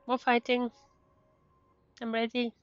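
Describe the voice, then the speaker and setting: A woman giving casual live game commentary as a streamer, in halting, short, non-native English remarks. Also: on the high side, completely flat delivery, highs cut off, faint music behind.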